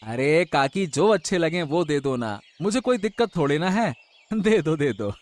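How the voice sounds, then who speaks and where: Man playing a lively-pitched young village fishmonger. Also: measured pace. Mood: easygoing. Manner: chatty, folksy. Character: stubborn, cheerful young male fish seller. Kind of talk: animated Hindi moral-story voice acting.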